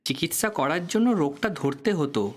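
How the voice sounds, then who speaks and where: A man's voice: fairly high pitch, animated, normal speed. A man playing a courteous, guarded and slightly weary doctor in an audio drama, explaining things in a professional manner. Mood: guarded, explanatory. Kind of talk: Bengali audio drama acting.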